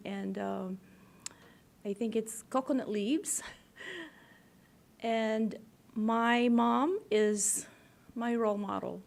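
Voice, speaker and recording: A woman from the Philippines with a Filipino accent, telling her story as an interview guest in halting phrases. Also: mid-range voice, vocal fry.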